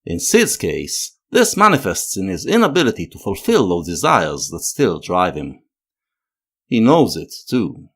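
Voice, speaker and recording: A man narrating a scripted analytical video essay in a measured, lecturing manner, leaning on key words. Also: deep voice, wildly swooping pitch, a bit bright.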